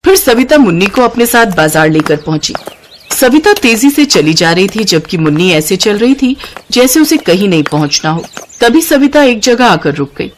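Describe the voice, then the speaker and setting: Woman, storyteller, medium pitch, scripted narration, moral story. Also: big pitch swings, moderate pace, a little hiss or hum.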